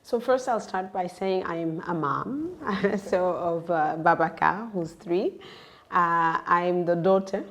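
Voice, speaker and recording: A woman born in Senegal and raised in the United States, speaking reflectively as a podcast guest, with halting, thoughtful phrasing. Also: fairly low pitch. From born in Senegal, grew up in the United States. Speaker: interview guest, entrepreneur. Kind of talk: reflective personal podcast conversation.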